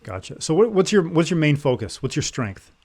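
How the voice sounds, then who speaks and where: A man's voice: a little high, big pitch swings, brisk, darkish tone, husky. A man hosting a casual interview, asking questions and offering frequent short, encouraging affirmations. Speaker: podcast host.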